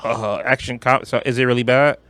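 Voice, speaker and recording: A man's voice: medium pitch, steady tone, a little fast, fairly bright tone, dry recording. A man talking casually about movies as a podcast co-host, in a blunt, slangy way with plenty of swearing.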